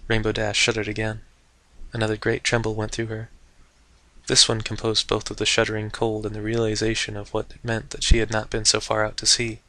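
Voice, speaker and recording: A man's voice: on the low side, completely flat delivery, brisk, bright, forceful tone. A man narrating a story aloud in a theatrical, dramatic storybook style. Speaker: host and narrator of fan fiction readings.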